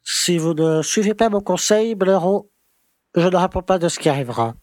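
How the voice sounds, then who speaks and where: An actor playing a pompous, grumbling conservative small-town mayor who lectures and scolds in a French audio drama. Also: male voice, high voice, lively intonation, normal speed, a little mellow, no echo. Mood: scolding.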